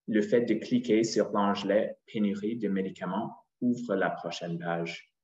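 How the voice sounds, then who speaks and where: A man's voice: on the low side, a little mellow. A man from Saskatchewan, a native English speaker with an English-Canadian accent, carefully reading a prepared conference presentation in French. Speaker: ophthalmologist presenter. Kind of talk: scripted presentation. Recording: echoey, dull lo-fi audio.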